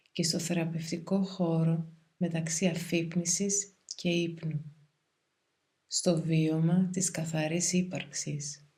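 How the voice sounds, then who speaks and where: A woman guides a meditation in a calm, soothing, measured way, leaving pauses between phrases. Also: fairly low pitch. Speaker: yoga nidra meditation guide.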